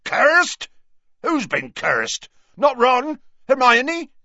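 A man voicing an enormous, shaggy, hearty giant of a man, booming and excitable, for a story. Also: slow, husky, no room sound, muffled recording, full character voice. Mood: alarmed, booming.

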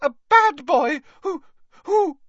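A man voicing an eager, devoted little male house elf in an audiobook, with a squeaky voice and earnest, defiant third-person speech. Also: normal speed, pushed and bright, dry recording, lo-fi sound. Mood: angry.

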